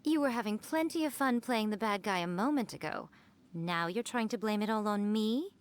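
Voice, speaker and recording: A woman's voice: mid-range voice, expressive, normal speed, natural voice. A woman playing a sly, elegant kitsune shrine maiden in a video game, speaking with poised, teasing amusement. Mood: amused teasing. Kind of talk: video game character acting.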